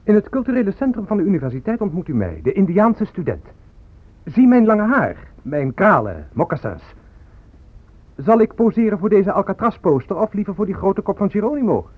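Defiant young student, phone-like radio sound. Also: male voice, high voice, sing-song, brisk. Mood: bitter, ironic. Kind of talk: Dutch radio drama acting, declaimed monologue and dialogue. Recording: phone-like old radio play, music behind.

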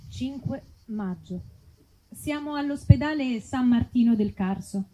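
A woman delivering mock adverts and sketch narration in a deadpan, formal announcer manner on stage. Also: on the high side, measured pace, faint music behind, rough recording.

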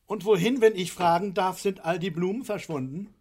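An actor playing an excitable, scheming middle-aged father in a German satirical radio play, theatrical, rambling and insistent. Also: high voice, moderate pace, slightly rough. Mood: stubborn insistence. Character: excitable, scheming, stubborn father arguing over a unicorn.

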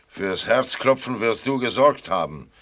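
A man's voice: medium pitch, expressive, takes it slowly, pushed and bright, heavily acted. An actor in a German radio play voicing a blunt, fussy older father who grumbles in a clipped manner. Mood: fussing, scolding.

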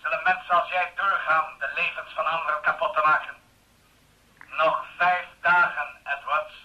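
An actor in a radio play playing a menacing, righteous middle-aged caller, formal and accusing, heard as a taped telephone message. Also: male voice, a little high, steady tone, moderate pace, dull audio. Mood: cold, accusing. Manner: formal, accusing, carefully phrased. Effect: telephone message played from tape.

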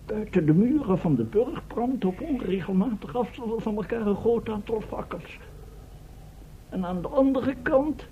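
A man's voice: high-pitched, dark tone. An actor playing a gloomy, fussy captive professor in a Dutch radio play, pompous and wordy in his fretting. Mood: agitated. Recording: muffled, noisy old radio play recording.

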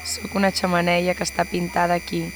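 A woman's voice: lowish voice, monotone, brisk, a loud crowd, natural voice. A woman playing a stubborn, foul-mouthed painted chimney in a poetic choral radio drama, with humming noise behind. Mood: plain, stubborn.

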